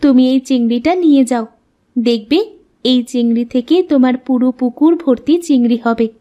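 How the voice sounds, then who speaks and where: Woman doing cartoon water fairy, high voice, stern n formal but kind underneath. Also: fairly even intonation, normal speed, dark tone, close to an everyday voice. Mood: benevolent. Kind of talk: cartoon voice acting.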